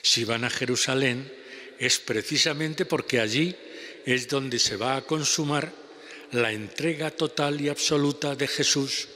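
An older man with a Spanish accent preaching a homily at a lectern, slow and measured with pauses. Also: mid-range voice, sharp tone. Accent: Spanish (Spain). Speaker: priest. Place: large church basilica. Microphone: lectern gooseneck mic. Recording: long church reverb.

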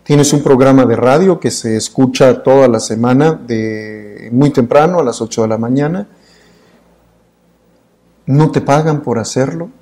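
A man's voice: mid-range voice, a little slow, dark tone. A middle-aged Mexican man hosting a studio interview, asking questions in long, stacked clauses. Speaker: interview host. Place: dark indoor studio.

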